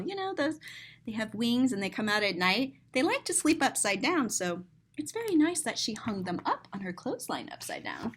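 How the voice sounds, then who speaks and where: A woman with a high, very lively voice reads a picture book to young children. She takes her time and is playful and expressive. She often steps out of the story to describe and explain things in simple words. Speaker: storyteller and teacher.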